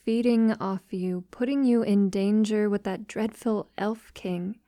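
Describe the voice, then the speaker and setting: Woman acting a soft, mellow vampire lover. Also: medium pitch, dry recording, studio quality. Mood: earnest, relieved. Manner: soft, slow, breathy delivery slipping into whispers. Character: tender, devoted female vampire lover, refined and possessive. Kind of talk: ASMR romantic audio roleplay.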